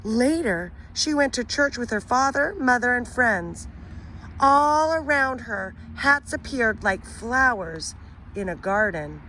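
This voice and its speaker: A woman teacher reading a children's picture book aloud, expressive and pausing to ask the listener questions. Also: a little high, a little hiss or hum, rough recording. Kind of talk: children's read-aloud story.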